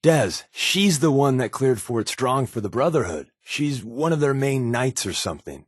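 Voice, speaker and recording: An actor playing a wisecracking, sardonic spy companion in a video game, with casual scripted dialogue. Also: male voice, mid-range voice, animated, moderate pace, husky, no echo, clean studio sound. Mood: impressed, probing. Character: wry, joking male spy companion with dry swagger.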